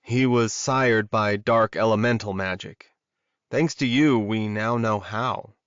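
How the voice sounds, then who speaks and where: A man's voice: on the low side, slow, a bit bright, clear, resonant tone, dry recording, highs cut off. A man voicing a protective, earnest alpha werewolf in an audiobook romance. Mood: serious.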